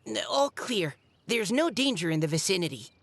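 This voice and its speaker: A woman's voice: medium pitch, big pitch swings, moderate pace. A woman voicing a modest, shy young boy knight in a video game, with a hesitant, bashful and sincere delivery. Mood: admiring. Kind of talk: scripted video game dialogue.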